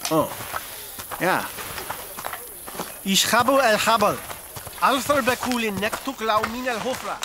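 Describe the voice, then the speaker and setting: A performer playing an excitable, anxious boy sidekick in an audio drama, eager and incredulous. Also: medium pitch, unhurried, long pauses, noisy background, natural voice. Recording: loud cinematic music behind the voice.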